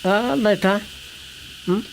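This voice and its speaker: A man's voice: high voice, takes it slowly, gravelly, heavily acted. Sly, nosy male comic role, Ilocano accent, questioning and teasing, radio drama, slightly noisy recording. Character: nosy, teasing man needling a village councilor.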